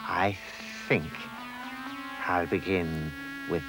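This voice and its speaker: A man voicing a sly, menacing crocodile in a storybook, speaking slowly in a low, hushed whisper with music playing behind. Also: fairly even intonation, full character voice, low-quality recording.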